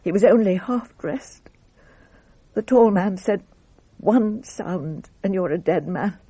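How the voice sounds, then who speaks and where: Guarded wife, dark husky tone, formal, measured, composed, radio drama. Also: female voice, medium pitch, lively intonation, no room sound, muffled recording. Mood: grave, shaken. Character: composed French wife recounting her ordeal, guarded.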